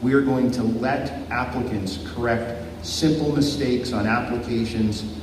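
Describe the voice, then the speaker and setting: A man's voice: mid-range voice, fairly even intonation, unhurried. Male candidate, formal policy talk with an odd filler, recorded from a distance in an echoey hall. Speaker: election candidate and lawyer. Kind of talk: public debate answer. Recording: echoey hall from far off, noisy.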